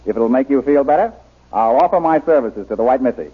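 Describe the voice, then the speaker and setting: An actor playing a brave, plain-spoken jungle hero in a radio adventure serial, earnest, courteous and steady. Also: male voice, medium pitch, fast, husky. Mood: steady, easygoing. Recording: muffled old radio broadcast recording.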